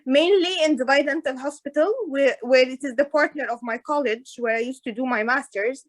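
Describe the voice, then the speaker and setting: A woman, an orthodontist guest talking in a casual interview over a video call, with hesitant pauses and restarts. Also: high-pitched. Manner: hesitant, many restarts and fillers. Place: in Dubai.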